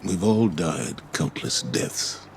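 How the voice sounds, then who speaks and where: A man voicing a stoic, mourning veteran commander in a game monologue, solemn, restrained and halting. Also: sits low, a little hiss or hum. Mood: restrained grief. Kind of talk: dramatic video game monologue.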